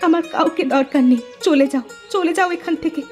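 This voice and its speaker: A woman's voice: very high voice, a little fast, a little mellow, faint music behind. A woman playing a wronged, wounded young village wife in a melodramatic cartoon. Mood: hurt, angry.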